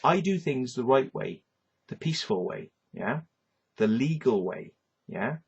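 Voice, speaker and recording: A man's voice: medium pitch, lively intonation, unhurried, vocal fry, slightly rough, highs cut off. A man with a Welsh accent delivering a rambling, swearing rant, tagging lines with "yeah" and "right". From Wales. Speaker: vlogger. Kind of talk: ranting monologue to camera.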